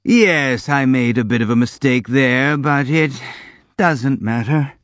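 A man's voice: mid-range voice, slow pace, a bit bright, no echo, highs cut off. A man voicing an elderly, boastful army general who speaks in a grandiloquent, effusive way. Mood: pompous. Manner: grandiloquent, effusive, self-pitying.

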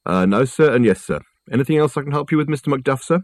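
A man voicing a large, unflappable college porter in an audiobook, deadpan and politely formal. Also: medium pitch, fast talker, no echo, voice pushed up.

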